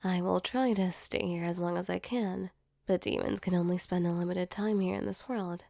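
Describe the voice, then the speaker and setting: Creaky, tender demon comforter played by a woman. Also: lowish voice, fairly even intonation, moderate pace, dark tone, dry recording, clean studio sound. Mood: soothing, protective. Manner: soothing, tender, reassuring. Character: gentle female sleep paralysis demon, affectionate and protective. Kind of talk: ASMR comfort roleplay, soft intimate acting.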